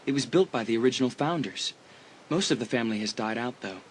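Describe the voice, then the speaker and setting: An easygoing teenage boy, acted by a young man in a horror audio drama, casual and chatty. His voice sits at an average pitch, with faint noise and ambience behind it. He talks like a confident, teasing school sports star. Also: animated, fast talker, lightly acted. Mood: grave, confiding.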